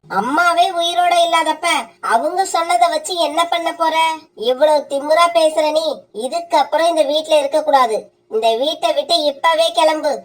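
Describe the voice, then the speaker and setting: A woman plays a grasping young wife bird in a cartoon who bosses her husband around. She scolds in a very high, piercing voice. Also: moderate pace, natural voice. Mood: bossy. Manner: scolding, bossy. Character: greedy young wife bird, sharp-tongued.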